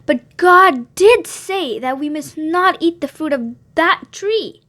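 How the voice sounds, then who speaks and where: A woman's voice: high voice, lively intonation, slow pace, fairly bright tone, pitched above the performer's own voice, exaggerated voice. A woman reading the lines of the first woman in a Bible story, earnest and worried. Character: first woman, curious and then frightened.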